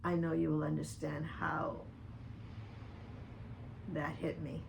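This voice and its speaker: An older woman delivers a slow, earnest monologue, speaking pointedly to one person by name. Her voice sits fairly low and carries a heavy gravelly rasp. A faint noise stays in the background throughout. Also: flat delivery. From Dominican Republic. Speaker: vlogger addressing a public figure. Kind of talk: personal open-letter video monologue.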